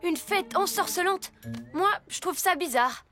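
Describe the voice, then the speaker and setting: An actor voicing an excitable six-year-old boy superhero in a French-dubbed children's cartoon, eager and exclamatory. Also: very high-pitched, measured pace, faint music behind, exaggerated voice. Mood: doubtful.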